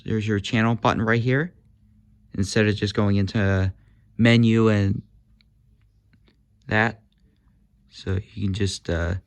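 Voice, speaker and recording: Slow, low-voiced man demoing gadgets. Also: clear, resonant tone, no echo. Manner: hesitant, with frequent fillers and self-corrections. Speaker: gadget reviewer. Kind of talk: casual product demonstration.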